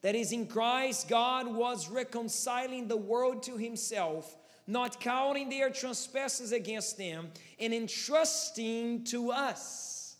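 A man in his thirties with a Brazilian Portuguese accent delivers an impassioned sermon with a fairly high voice. His rhetorical questions climb as he presses his point. The sound rings with a long echo from a large hall. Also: takes it slowly. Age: 30s. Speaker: pastor.

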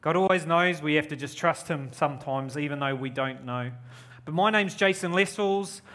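A man's voice: a little high, normal speed, a bit bright. A man in his forties from New Zealand, with a New Zealand accent, giving an enthusiastic guest sermon and prayer at a church service. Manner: enthusiastic, upbeat and effusive. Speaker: guest preacher.

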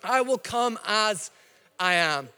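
A man's voice: sits high, slow pace, clear, resonant tone, big-room sound. A man from Northern Ireland with a slightly Scottish-tinged Northern Irish accent, preaching a sermon and telling stories straight to his congregation. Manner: lively storytelling, addresses the congregation directly. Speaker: pastor.

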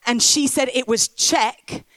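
A woman's voice: high voice, takes it slowly, fairly bright tone, no room sound. A woman preaching on stage, telling a story and rousing the crowd. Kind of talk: sermon with storytelling. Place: stage in a big top tent.

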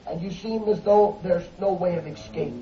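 A man preaching a fervent Pentecostal sermon, building to shouts and calling out hallelujahs. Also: high-pitched, moderate pace. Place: church service. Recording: echoey dull lo-fi recording from a distance.

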